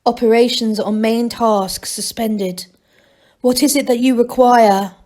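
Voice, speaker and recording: A woman's voice: a little high, steady tone, a little slow, a little mellow, lightly acted. Woman doing tiny talking computer from a kids book, tinny, formal, super literal and clipped. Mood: formal, flat. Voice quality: tinny, small. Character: tinny little thinking machine, pedantic and literal.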